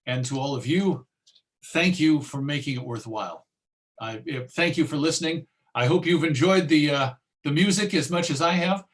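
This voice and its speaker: A man presenting an informal online talk and answering questions in a hesitant, musing way, often pausing mid-phrase. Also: on the high side. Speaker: presenter and old-time radio collector.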